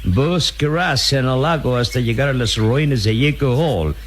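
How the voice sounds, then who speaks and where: An actor playing a cunning, unscrupulous captain in a Latin American Spanish radio serial, with a coaxing, patronizing manner and drawn-out hesitations. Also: male voice, medium pitch, natural voice. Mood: scheming, bargaining. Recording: noisy jungle ambience with birdsong.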